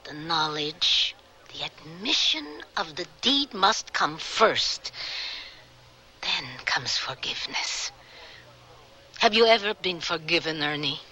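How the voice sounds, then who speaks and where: An actress playing a grave, forgiving woman survivor in a radio drama, speaking solemnly and deliberately. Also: fairly low pitch, animated, pushed and bright, husky, full character voice. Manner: solemn, deliberate, rhetorical delivery.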